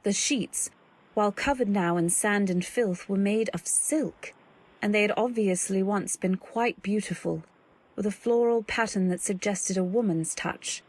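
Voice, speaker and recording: A woman performs an anxious young wife in an old-time radio drama. Her voice is husky and mid-pitched, and she tells her story in careful, literary, dramatic phrases. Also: unhurried, a little mellow, lightly acted. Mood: pensive, uneasy.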